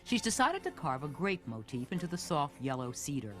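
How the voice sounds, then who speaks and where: A narrator reading scripted documentary narration in a smooth, descriptive way. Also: mid-range voice, sing-song, a little fast, fairly bright tone. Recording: narration played over a video with music.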